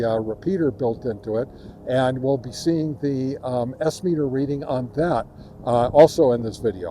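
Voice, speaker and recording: A man presenting an informal field test outdoors, speaking haltingly with many ums. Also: medium pitch, warm tone, a little hiss or hum. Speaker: ham radio video reviewer, host. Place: outdoors in a windy park.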